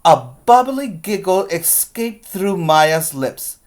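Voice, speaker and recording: A preschool teacher reads and explains a story for small children. The pace is slow and deliberate, with simple phrasing and repeated words for emphasis, in a mid-range voice. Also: mid-range voice, lively intonation. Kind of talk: storybook read-aloud with explanations for young children.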